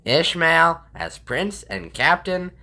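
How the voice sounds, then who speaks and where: A man's voice: fairly high pitch, lively intonation, slow pace, bright, forceful tone, exaggerated voice. A man playing a kindly, earnest and welcoming governor in an audio drama.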